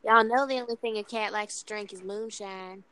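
A woman's voice: medium pitch, steady tone, normal speed, pushed and bright, close to an everyday voice, poor audio. A woman putting on a prim Southern young lady with a put-on Southern accent, speaking in a mock-proper, drawling way.

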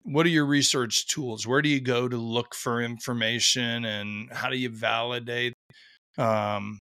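Hoarse podcast host with a bright voice. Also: male voice, medium pitch, steady tone, a little slow, dry recording, clean studio sound. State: hoarse from a cold. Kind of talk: casual interview chat.

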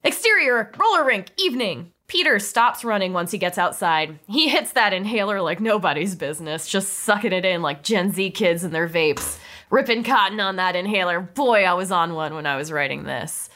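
Bright-voiced woman narrating a comedy script. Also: mid-range voice, lively intonation, normal speed. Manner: playful, breaking into amused asides. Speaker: narrator of a script table read.

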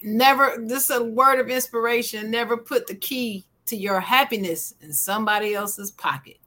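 Bright-voiced blunt woman co-host. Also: on the high side, normal speed. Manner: blunt, opinionated. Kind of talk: casual sports talk.

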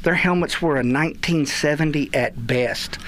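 A man with a Texan accent, a guest on a radio chat show, telling lively stories and acting out the quotes. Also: fairly high pitch, normal speed, a little hiss or hum. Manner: animated storyteller, acts out quotes. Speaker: guest, youth baseball parent. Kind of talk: casual radio chat, storytelling.

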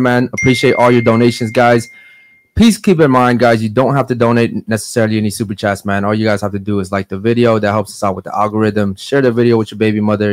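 A man's voice: fairly low pitch, no echo. A man hosting a podcast in a brash, profane, casual way, tacking "man" onto his sentences. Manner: brash, profane, drops "man" and "guys" often. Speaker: podcast host.

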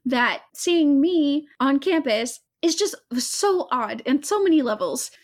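High-voiced American woman, chatting casually. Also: animated, slow pace. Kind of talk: casual anecdotal conversation.

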